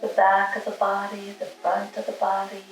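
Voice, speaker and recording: A woman leading a guided meditation, giving soothing, gentle instructions in short, spaced phrases. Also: mid-range voice, completely flat delivery, normal speed. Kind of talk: guided meditation, spoken slowly with long pauses. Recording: roomy, distant mic, music underneath.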